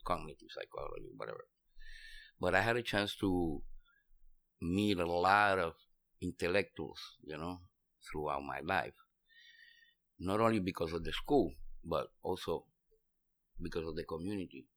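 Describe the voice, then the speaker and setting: A man's voice: sits low, a bit bright. A middle-aged man from Puerto Rico with a Puerto Rican accent, telling his story casually and in a rambling way as an interview guest. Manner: rambling, peppered with "you know". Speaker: interview guest, restaurant owner.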